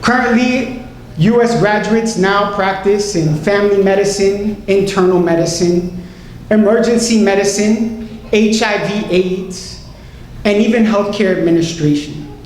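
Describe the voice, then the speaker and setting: A woman's voice: mid-range voice, darkish tone. Echoey woman giving an impassioned speech. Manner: emphatic, deliberate phrasing with repetition. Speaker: doctor, graduate giving a tribute speech. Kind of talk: impassioned public political speech. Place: large indoor hall, tribute event. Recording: very echoey hall, faint noise.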